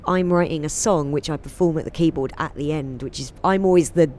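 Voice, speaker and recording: A woman, a comedian and singer, chatting as a guest in an interview, laughing often and going off on quick asides. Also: sits low, a little mellow, slight background noise. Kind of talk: casual interview chat.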